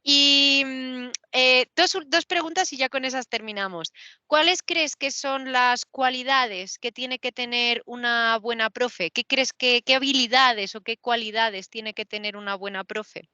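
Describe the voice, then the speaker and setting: A woman language teacher hosting a casual interview, chatty and lively. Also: fairly high pitch, no room sound. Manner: chatty and lively, quick to agree and laugh.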